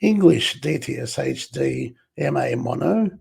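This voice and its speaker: Hoarse, croaky man. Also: mid-range voice, animated, a little mellow. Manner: slow, clears throat often. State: hoarse, a bit under the weather. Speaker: collector reviewing a film set. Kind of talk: unboxing and reading back covers aloud.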